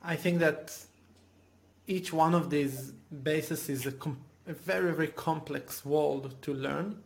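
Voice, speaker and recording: A man's voice: fairly high pitch, steady tone, slow, vocal fry. A man hosting an interview podcast, asking eager, leading questions and often restarting his sentences. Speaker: podcast host.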